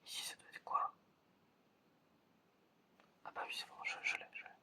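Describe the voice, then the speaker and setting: An ASMR creator whispering a casual, encouraging roleplay test, softly repeating words. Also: normal speed. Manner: casual, encouraging, informal phrasing. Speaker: ASMR creator playing an examiner. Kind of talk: ASMR roleplay, testing the listener's senses.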